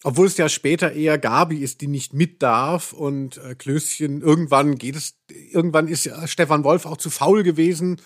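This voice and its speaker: A man's voice: fairly high pitch, fairly even intonation, normal speed, hardly any pauses. A man co-hosting a podcast, chatting casually. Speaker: podcast host. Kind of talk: casual chat about audio dramas.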